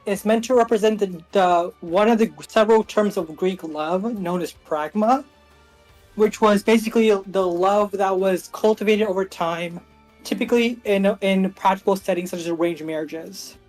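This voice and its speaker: A co-host on a relaxed art livestream, explaining ideas eagerly with many "like" fillers. The pitch is middling, and faint music plays behind. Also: moderate pace, rough recording. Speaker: indie animation team member, stream co-host. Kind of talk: casual livestream chat.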